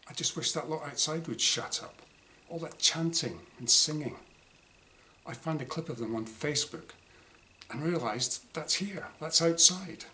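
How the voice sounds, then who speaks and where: A man's voice: on the high side, bright, forceful tone. A man reading his own first-person diary short story aloud in a wry, exasperated, dramatised way. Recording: clear background noise.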